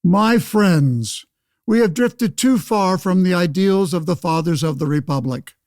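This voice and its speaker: A man acts out a 1911 senator for a radio play, a self-righteous windbag with a rather high voice. He orates in formal, old-fashioned phrases, pompous and sure of himself. The sound is very dry. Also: expressive, unhurried, darkish tone, no room sound. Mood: grandiose, preachy. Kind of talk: pseudo-radio play interview and oratory.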